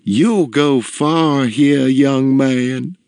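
A man voicing an imperious, demanding older woman in a story, with drawn-out, commanding words. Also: high-pitched, very animated, no echo, voice pushed up, heavily acted. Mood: sweet, rapturous. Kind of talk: storybook character voice in narration.

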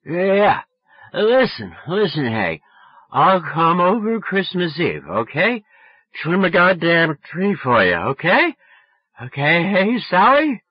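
A man voicing a drunk teenage boy on a late phone call, pleading insistently and repetitively in slurred yells, as in an audiobook. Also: high-pitched, wildly swooping pitch, slow pace, slightly rough, pitched above the performer's own voice, heavily acted. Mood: drunken pleading.

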